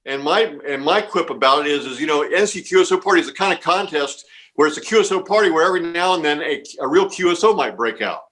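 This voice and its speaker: Higher-pitched man explaining, reassuring and informal. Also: lively intonation, quick pace, fairly bright tone. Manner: reassuring, repeats words and phrases. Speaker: amateur radio contest organizer being interviewed. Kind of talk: informal explanatory interview talk.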